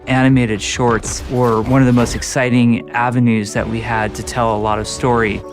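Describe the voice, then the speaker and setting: A man's voice: mid-range voice, little pitch movement, moderate pace, soft background music. A man talking enthusiastically about game storytelling in a behind-the-scenes documentary. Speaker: game developer interviewed. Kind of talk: documentary interview.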